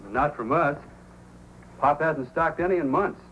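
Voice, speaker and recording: A man's voice: fairly high pitch, a little slow, slight background noise, close to an everyday voice. An actor playing an eager, earnest young male helper in old-time radio drama style. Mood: helpful. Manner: earnest, pleading. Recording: muffled old radio recording with music.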